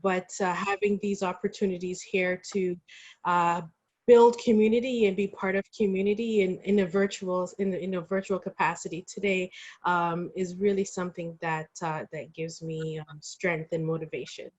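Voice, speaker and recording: Muffled woman, measured and reflective. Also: lowish voice, flat delivery. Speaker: panelist. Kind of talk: reflective panel remarks. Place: online video call. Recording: dull, muffled video call.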